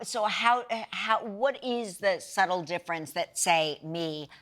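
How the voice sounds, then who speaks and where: A woman co-hosting a morning talk show, asking short questions and chiming in with quick agreement. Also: on the low side, expressive, a bit bright. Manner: short affirming responses, brief questions.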